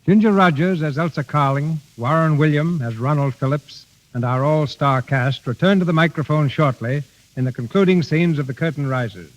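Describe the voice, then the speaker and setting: A man's voice: on the high side, moderate pace, dull audio. A man hosting a radio show with formal, scripted 1930s announcing, on a vintage broadcast recording.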